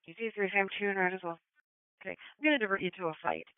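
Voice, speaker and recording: A police dispatcher heard over a tinny, narrowband scanner radio. The voice is clear and smooth at a middling pitch, very dry, reading out calls and numbers in clipped procedural phrases. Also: fast talker, no room sound.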